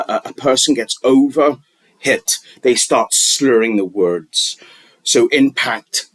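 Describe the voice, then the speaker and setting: A man talking unscripted, giving wellness advice in a halting, rambling way with many restarts and fillers. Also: lowish voice, a bit bright, slightly rough, no echo.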